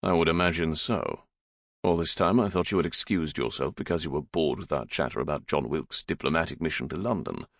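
A man's voice: rumbling low, a little fast, dry recording. A man playing a stern but fond middle-aged father in an audiobook, scolding in a dry, weary way. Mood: dry reproach.

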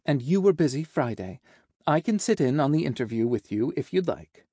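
A man's voice: medium pitch, very animated, moderate pace, mellow, husky, no echo, clean studio sound. A man voicing a cheerful, efficient female secretary with a teasing, matter-of-fact manner in an audiobook. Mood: cheerful, businesslike. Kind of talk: audiobook character dialogue.

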